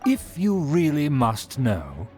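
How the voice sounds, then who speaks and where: An actor playing a pompous, condescending young male noble in a video game, with formal, drawn-out phrasing. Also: mid-range voice, huge pitch swings, mellow. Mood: disdainful. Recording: background music.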